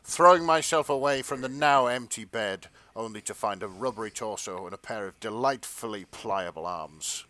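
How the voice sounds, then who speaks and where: A man's voice: on the low side, moderate pace, few pauses, pushed and bright, no echo. A British man hosting a podcast, reading fan fiction aloud in a mock-earnest comic tone. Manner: mock-earnest, breaks into laughter. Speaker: podcast host and narrator.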